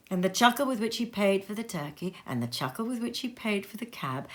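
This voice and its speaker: A woman reading a classic tale aloud at home in her sitting room, telling it in a lively, expressive way. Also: mid-range voice. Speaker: reader.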